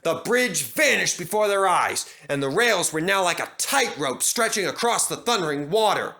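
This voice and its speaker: A man reading a children's railway story aloud with animated, expressive narration. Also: high voice, moderate pace, gravelly.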